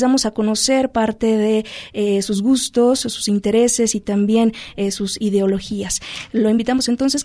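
A woman's voice: a little high, darkish tone. A woman with a Mexican Spanish accent hosting a radio interview from a studio booth, speaking formally and reading a scripted profile. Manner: formal, measured, with occasional fillers. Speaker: radio host.

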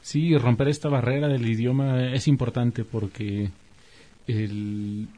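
A man hosting an interview, calm and agreeable with short affirmations. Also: medium pitch, slow pace.

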